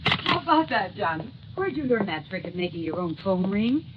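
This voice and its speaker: A woman's voice: mid-range voice, very animated, moderate pace, close to an everyday voice. An actress playing a playful, curious woman on a cocktail date, chatty and teasing, in a 1950s radio drama. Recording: muffled, slightly noisy old radio recording.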